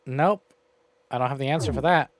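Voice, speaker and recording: A man's voice: mid-range voice, fairly quick. A man giving casual live-stream commentary on a game, sweary and thinking aloud.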